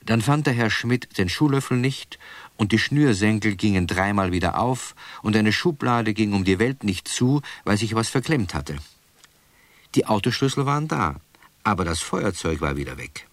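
A man narrating a children's radio play as a scripted storyteller, in measured sentences. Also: fairly low pitch, lively intonation, no room sound.

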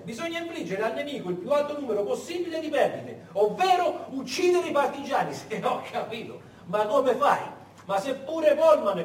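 A man playing a callous, boastful military officer in a radio drama, speaking loudly and emphatically. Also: extremely high pitch, pitched above the performer's own voice. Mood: mocking. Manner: loud, emphatic, declamatory. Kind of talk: stage radio drama acting. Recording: echoey, distant, noisy stage recording.

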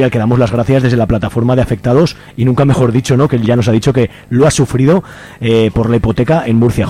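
A man's voice: medium pitch, dark tone. A male radio host with a Spanish accent from Spain and a mid-range voice. He talks conversationally and discursively, often tacking on little tag questions as he interviews.

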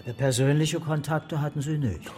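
A man's voice: a little high, fairly even intonation, normal speed, dark tone, natural voice. A man playing a sardonic, grumbling police detective in a naturalistic German radio crime drama, sarcastic and curt. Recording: reverberant hall, faint background noise.

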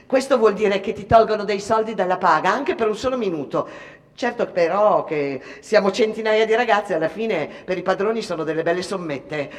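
An actress playing a bold, brash young Italian immigrant factory girl in an animated radio drama monologue. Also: medium pitch, moderate pace, big-room sound, natural voice. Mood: mocking, spirited. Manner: animated, boastful, mimicking others. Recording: music behind the voice.

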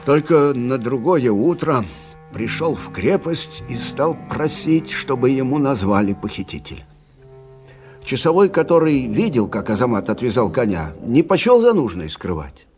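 A man's voice: mid-range voice, big pitch swings, moderate pace, a little mellow, faint music behind, lightly acted. An actor playing an older army captain who recounts his memories conversationally in a Russian radio drama. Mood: reminiscing. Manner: conversational, reminiscing storytelling.

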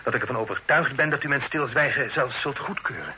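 An actor playing an earnest, well-spoken young son in a radio play, speaking formally and politely. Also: male voice, medium pitch, expressive, a little fast, sharp tone, low-quality recording. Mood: earnest, uneasy. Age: young adult. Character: earnest, respectful young son with a burdening secret. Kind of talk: Dutch radio play acting. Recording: hissy old radio recording.

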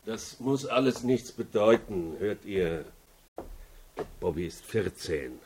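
An actor playing a worldly, reassuring middle-aged lawyer in a radio crime drama, casual and placating. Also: male voice, lowish voice, expressive, slow pace, a little mellow, slight background noise.

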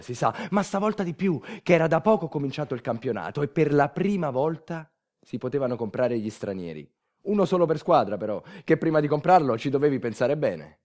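A man's voice: fairly high pitch, darkish tone. A man acting a theatrical monologue as a wry everyman storyteller, colloquial. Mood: wry, animated. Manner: wry, colloquial, repeating catchphrases. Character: wry everyman storyteller recalling football and old times. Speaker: actor narrating a monologue.

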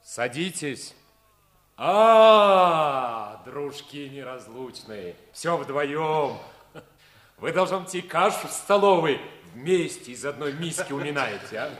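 A man playing an authoritative but good-humored army lieutenant colonel, brisk and paternal, in a 1950s Soviet radio play. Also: a little high, animated, fairly bright tone. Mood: wry, commanding.

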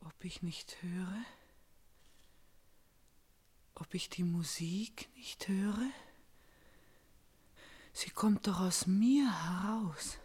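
Slow, fervent tragic princess. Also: female voice, lowish voice, a little mellow, husky. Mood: entranced, breathy. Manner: declamatory, fervent, deliberate. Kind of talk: intense classical German radio tragedy acting.

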